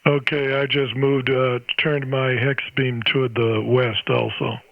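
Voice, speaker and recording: A man's voice: medium pitch, flat delivery, unhurried, gravelly, very noisy. A man with an American accent holds a casual ham radio exchange, conversational and sprinkled with operator jargon. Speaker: amateur radio operator, channel host. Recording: dull, band-limited radio audio.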